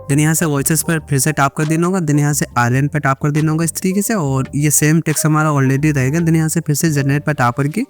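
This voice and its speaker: A man's voice: fairly high pitch, fairly even intonation, brisk, few pauses, soft background music. An Indian man narrating a step-by-step editing tutorial, mixing English words into repetitive instructions. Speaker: video editing tutorial creator.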